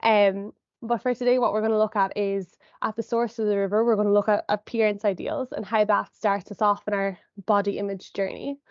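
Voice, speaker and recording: A woman's voice: mid-range voice, little pitch movement, a little fast, no echo, highs cut off. A young woman psychologist, sniffly from a cold, giving an explanatory online talk to parents, hedging often with "I suppose" and ums. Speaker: sport and exercise psychologist presenter. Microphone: video call.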